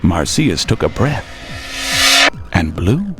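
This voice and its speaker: A man's voice: deep voice, very animated, slow, over loud music. A man narrating a radio tale in a theatrical, rhyming beat-poet style, relishing every word. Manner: dramatic, relishing rhyme and alliteration.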